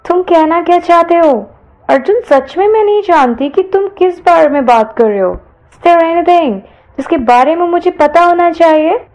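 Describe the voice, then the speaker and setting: Audio story role: young college woman, voiced by a female narrator, high-pitched, bewildered, earnestly protesting. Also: lively intonation, normal speed, darkish tone, dull audio. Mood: hurt, protesting. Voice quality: high, lifted voice.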